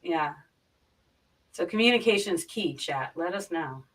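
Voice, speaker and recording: A woman with a fairly low but sharp, bright voice chats casually on a livestream. She explains things informally, repeating phrases as she goes, and sounds set back from her microphone. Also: animated, a little slow. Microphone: boom mic, sitting back from it. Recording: distant from the microphone.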